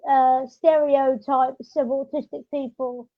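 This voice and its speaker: A woman's voice: sits high, flat delivery, takes it slowly. A woman talking in an informal, chatty way as she hosts a casual podcast. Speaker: podcast host. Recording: dull lo-fi audio.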